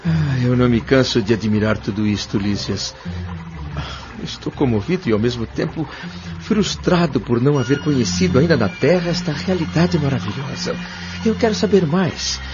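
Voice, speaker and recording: A man's voice: medium pitch, lively intonation, normal speed, lightly acted. A Brazilian man playing an earnest, curious newcomer to the spirit world in an audio drama, speaking politely and with wonder. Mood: awed, moved. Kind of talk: audio drama acting with first-person narration. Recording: dull lo-fi audio, music clearly behind.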